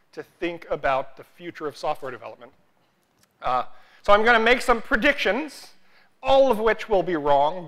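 A man's voice: on the high side, sharp tone, poor audio. A man, giving a humorous conference talk on a large stage, self-deprecating and joking, with frequent "uh" fillers. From United States. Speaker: conference keynote speaker.

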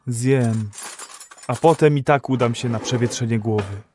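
A man's voice: mid-range voice, slow pace, darkish tone, slight background noise, natural voice. A man acting as a weary, sullen, mature husband in a dystopian radio play, with a dour, scolding, resigned manner. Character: weary, sullen, controlling middle-aged husband.

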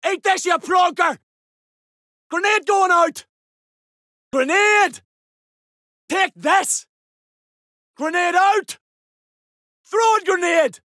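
A man voicing a gruff, battle-hardened soldier in a video game, barking urgent, blunt combat lines. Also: very high-pitched, extremely slow, long pauses, no room sound, studio quality, exaggerated voice. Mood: urgent alarm. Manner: urgent, forceful, blunt swearing.

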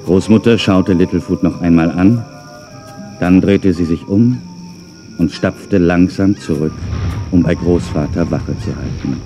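A man narrating a scripted children's audio drama as a calm storyteller. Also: low voice, measured pace, faint music behind.

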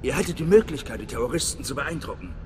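A man's voice: medium pitch, expressive, quick pace, noisy background, natural voice. Theatrical middle-aged spy chief acted by a man, echoing. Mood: conspiratorial, serious. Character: hearty, wry veteran spy station chief, big man. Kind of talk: video game voice acting. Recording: long reverb, game music behind.

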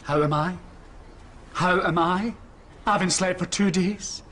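A man's voice: sits high, a little slow, fairly bright tone. A camp, melodramatic diva, wounded and theatrical. His sitcom delivery sits over faint studio noise. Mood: wounded, dramatic. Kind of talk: broad sitcom acting.

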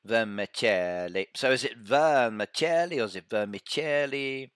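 A man's voice: mid-range voice, expressive, measured pace, fairly bright tone, no echo, clean studio sound. A middle-aged British man with a British accent streaming a mobile game live, chatting through a headset mic and reading out viewers' messages. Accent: British English. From Britain. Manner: chatty, reads out chat and answers viewers. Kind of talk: casual live-stream commentary.

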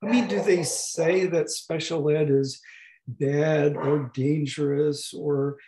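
Hoarse, gravelly man in his eighties. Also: on the high side, fairly even intonation, background noise. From United States. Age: 80s. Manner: not fully distinct, slow, with frequent pauses and restarts. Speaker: professor emeritus giving a talk. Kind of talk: slow, halting lecture over video call. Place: home room at a desk. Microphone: webcam on a video call.

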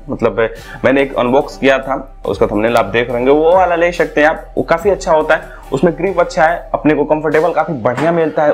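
A young man chatting about gym gear in a casual review vlog, speaking persuasively into a lapel mic in a small room. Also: fairly high pitch. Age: young adult. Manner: chatty and persuasive, mixing English words into his talk. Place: small indoor room, seated on a sofa.

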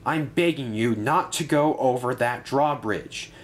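A man's voice: medium pitch, measured pace, pitched above the performer's own voice, close to an everyday voice. A man voicing a nervous male runaway diesel engine that stammers and pleads. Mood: pleading.

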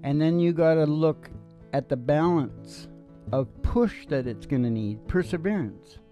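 Older male host, folksy animated off-the-cuff chat on a stand mic, acoustic guitar playing behind. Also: on the high side, unhurried. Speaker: host and singer of a music video podcast. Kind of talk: casual rambling chat. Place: small dim curtained stage or room. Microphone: stand microphone. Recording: live performance with acoustic guitar.

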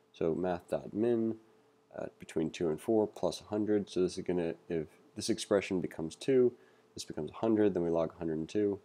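A man reading aloud through a coding book and thinking out loud as he teaches, with a relaxed manner and frequent fillers. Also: low-pitched. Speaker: coding tutor. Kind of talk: casual read-along lesson.

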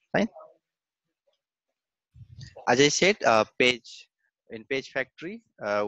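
A man walks through a coding lesson over a screen recording, pausing often on fillers and saying "okay" and "fine." His voice sits at a middle pitch. The recording is dry and a little dull. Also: fairly bright tone, no echo. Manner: hesitant, many fillers and repeated "okay, fine". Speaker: software testing instructor.

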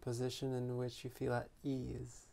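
Male meditation teacher, gentle delivery, flat intonation, frequent vocal fry, long unhurried pauses. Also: medium pitch. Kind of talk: guided meditation.

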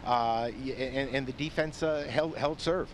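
A man's voice: mid-range voice, measured pace, a little hiss or hum. A man interviewing a coach and players after a game, upbeat and chatty. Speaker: sideline interviewer. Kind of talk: postgame interview questions.

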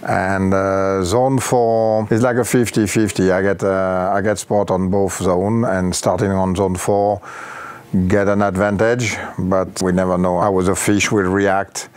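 Deep-voiced French man, halting English. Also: flat delivery, darkish tone, clear, resonant tone. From France. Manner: hesitant, halting with fillers. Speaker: competitor. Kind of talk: interview answers. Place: outdoor patio.

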